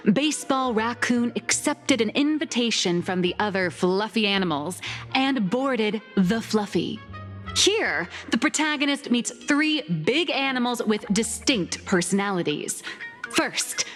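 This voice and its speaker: A woman's voice: a little high, a bit bright. A woman playing a theatrical young comic artist in an anime game, lecturing like a self-assured, mock-scholarly teacher. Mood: storytelling. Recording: long reverb, music behind.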